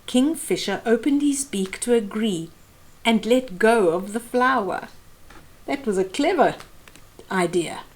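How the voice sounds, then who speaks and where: A woman's voice: a little high, expressive, a little slow. A middle-aged woman hosting a children's storytime, reading a picture book expressively and explaining it to young listeners. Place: small indoor room.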